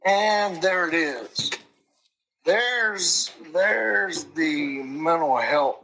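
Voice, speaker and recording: A man with a husky, raspy voice, pitched a little high, comments slowly. He is blunt and emphatic, repeating points as a true crime commentator. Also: animated, pushed and bright, low-quality recording. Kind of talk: casual reaction commentary.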